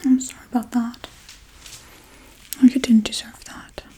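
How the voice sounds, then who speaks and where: A woman playing a scatterbrained, casually callous witch in a soft-spoken ASMR role-play, rambling in a breathy, mostly whispered voice. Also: fairly high pitch, little pitch movement, unhurried. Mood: offhand, musing. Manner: offhand, rambling, blithely unbothered.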